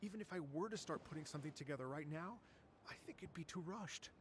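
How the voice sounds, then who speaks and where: A man voicing a cautious, formal, exacting musician and organizer in a game cutscene, measured, hesitant and creaky. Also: fairly high pitch, animated, background noise, close to an everyday voice. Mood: hesitant, doubtful.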